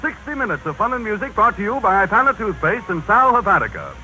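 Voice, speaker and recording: A man's voice: high-pitched, very animated, fast, pushed and bright, poor audio. An American man announcing a 1930s radio show in a theatrical showman's manner. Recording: 1930s radio broadcast, music behind.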